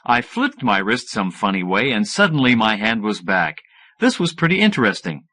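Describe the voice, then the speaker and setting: A man reading first-person fiction as an audiobook narrator, in an expressive scripted style. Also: fairly low pitch, moderate pace.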